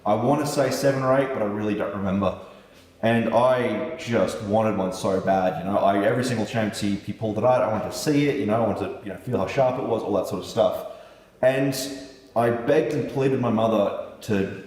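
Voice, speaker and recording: Low-voiced man in an echoey room. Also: normal speed, a little mellow. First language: German. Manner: laid-back, chatty, swears casually. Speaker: knife maker. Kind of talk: casual vlog talk to viewers. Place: room with a light set up. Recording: echoey room, mic far off.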